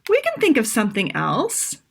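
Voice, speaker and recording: A woman's voice: on the high side, huge pitch swings, normal speed, creaky, full character voice. A woman voicing an easygoing, encouraging uncle with a reassuring manner in a children's storybook.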